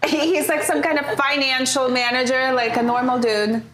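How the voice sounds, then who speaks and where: A woman's voice: high-pitched, fairly even intonation, moderate pace, a bit bright, echoey room, slight background noise. A young woman from Ukraine co-hosting a podcast as a comic, laughing often and arguing her points plainly with slightly non-native phrasing. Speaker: podcast co-host and stand-up comic. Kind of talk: casual chat.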